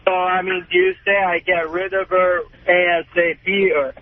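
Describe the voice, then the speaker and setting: A man's voice: high voice, level tone, normal speed, no echo. A man calling in to a radio show, chatting hesitantly with stammers and unsure questions. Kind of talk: hesitant casual phone chat. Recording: tinny, noisy phone line.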